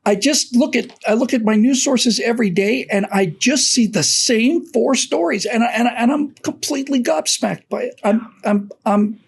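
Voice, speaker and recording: A middle-aged man on a casual video call, husky-voiced, halting and earnest, often repeating words. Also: extremely high pitch. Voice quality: husky, thin voice. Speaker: co-host. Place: home office.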